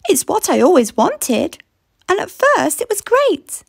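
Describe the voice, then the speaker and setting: Woman as a high-voiced, wistful giant little girl. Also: sing-song, measured pace, creaky, no room sound, clean studio sound, full character voice. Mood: disappointed.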